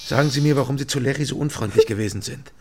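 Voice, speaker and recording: A man's voice: mid-range voice, very fast, gravelly, noisy background, close to an everyday voice. An actor playing a terse young man, a guarded and insistent former detective, in an understated radio crime drama style. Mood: insistent, probing. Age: 20s. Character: terse, probing young East German ex-detective, reformed drinker. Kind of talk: naturalistic radio crime drama acting, some narration.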